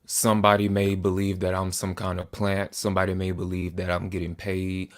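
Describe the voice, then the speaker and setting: A man in his twenties speaks straight to the camera in an earnest, measured way. His voice sits a little on the low side, and he sometimes stumbles and restarts a phrase. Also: completely flat delivery. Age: 20s. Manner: earnest, deliberate, with small restarts. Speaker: political commentator.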